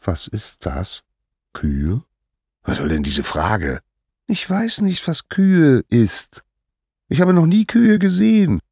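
Cheeky young storybook god, acted by a man, raised pitch, scheming, wide-eyed, swooping intonation. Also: wildly swooping pitch, takes it slowly, vocal fry, a little mellow, slightly rough, no room sound, studio quality, full character voice. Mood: feigned innocence. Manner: sly, wide-eyed, playful. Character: cheeky, scheming baby boy god feigning innocence.

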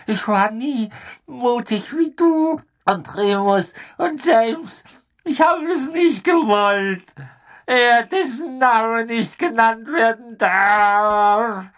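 A man's voice: very high voice, very slow, voice pushed up, full character voice. A man reading an audiobook, voicing a cowering, sobbing middle-aged male traitor with a whiny, pleading voice. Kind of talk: audiobook character voice.

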